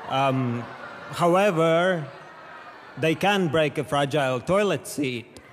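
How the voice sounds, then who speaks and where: A young man from Latvia with a Latvian accent, talking in a hesitant, awkward deadpan as an amateur comedian. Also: on the high side, slow pace. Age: young adult. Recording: loud music and crowd noise behind.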